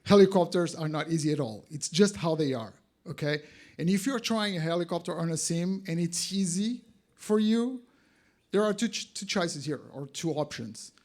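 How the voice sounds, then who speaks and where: A man's voice: a little high, lively intonation, moderate pace, a little mellow. A man with a Portuguese accent giving an informal live presentation, talking directly to his audience in a conversational way. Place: in front of an audience at an expo.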